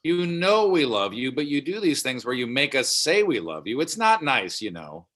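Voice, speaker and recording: A man's voice: mid-range voice, very animated, normal speed, a bit bright. A man playing a blunt, weary middle-aged father in a comedy table read. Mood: groggy, blunt.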